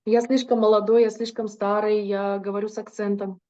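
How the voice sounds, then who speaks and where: Mellow-voiced woman interpreting a lecture. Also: mid-range voice, little pitch movement, a little fast, muffled recording. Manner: fluent, with occasional hesitations and self-corrections. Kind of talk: consecutive interpretation into Russian.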